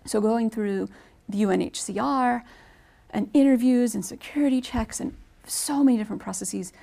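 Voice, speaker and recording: A woman's voice: fairly high pitch, moderate pace, gravelly, mellow. A woman speaking on a radio-style panel discussion, chatty and enthusiastic, often restarting her sentences.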